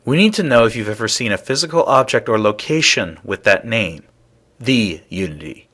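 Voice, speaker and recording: A man's voice: lowish voice, lively intonation, moderate pace, a bit bright. An actor playing a serious, urgent male space explorer in a video game, speaking in a direct and insistent way. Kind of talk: acted video game dialogue.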